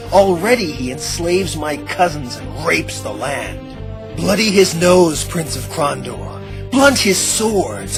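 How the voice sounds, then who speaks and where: Man as a proud, grim elven warrior. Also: fairly high pitch. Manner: archaic, declamatory. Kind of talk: fan radio play acting.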